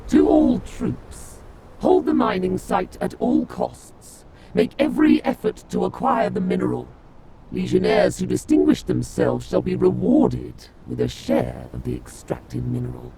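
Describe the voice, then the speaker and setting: An imperious demon commander from a video game. The voice is heavily creaking and slow, swinging dramatically in pitch with grandiose menace. Noise sits clearly behind it. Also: male voice, wildly swooping pitch, full character voice. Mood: imperious, menacing. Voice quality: gravelly. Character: menacing demon army commander issuing written orders. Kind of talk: video game villain monologue.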